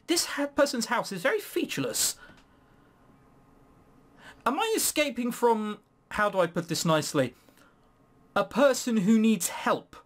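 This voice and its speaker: A man's voice: high-pitched, very animated, a little slow, long pauses. A man giving casual, sarcastic gaming commentary with some swearing, sometimes reading game text aloud. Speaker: let's play host.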